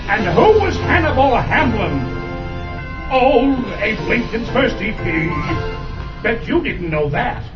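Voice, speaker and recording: A man's voice: very high-pitched, big pitch swings, takes it slowly, exaggerated voice. A man voicing a jolly, righteous Santa Claus puppet, kindly and declaiming in a theatrical way. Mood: indignant. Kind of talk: theatrical puppet show dialogue. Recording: muffled, distant, loud music.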